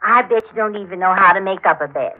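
A woman's voice: medium pitch, quick pace, natural voice. An actress playing a chatty, motherly, intrusive neighbor, genteel and insistent, in 1940s radio drama style. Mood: sweetly fussing. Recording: tinny, narrow-band 1940s radio recording.

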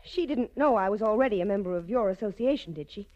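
An actress playing a genteel, anxious dress-shop madame in a 1940s radio drama, formal and refined. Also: female voice, mid-range voice, animated, fast talker, natural voice. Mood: anxious, upset. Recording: muffled 1940s radio broadcast recording.

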